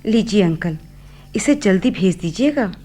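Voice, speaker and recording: Hopeful girl, slow, gentle, sincere, halting, medium pitch, radio drama. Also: expressive. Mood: sweet, eager. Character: frail, sincere young daughter, hopeful and tender. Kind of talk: Hindi radio play acting.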